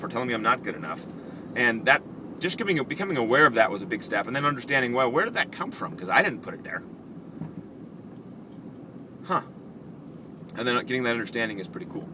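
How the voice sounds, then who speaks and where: Conversational man vlogging over clear car noise. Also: mid-range voice. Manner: animated, conversational, reflective. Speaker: vlog host. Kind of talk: casual personal storytelling to camera. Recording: clear vehicle and street noise.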